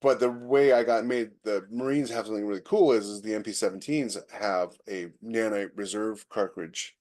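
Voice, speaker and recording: A man's voice: fairly low pitch, fairly even intonation, normal speed, a little mellow. A man co-hosting a podcast, chatting casually and explaining things in a conversational way. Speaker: podcast co-host. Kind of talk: casual chat.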